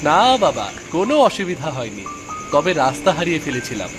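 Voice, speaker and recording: A man's voice: a little high, sing-song, a little fast, music in the background. A man playing an earnest young village son in a cartoon story, speaking expressively. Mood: casual, reassuring. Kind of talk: animated cartoon story dialogue.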